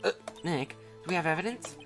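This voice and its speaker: An anxious young woman assistant in a courtroom game, as a streamer acts it out. The voice is pitched high and swoops about as it pleads. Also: animated, a little fast, fairly bright tone, soft background music.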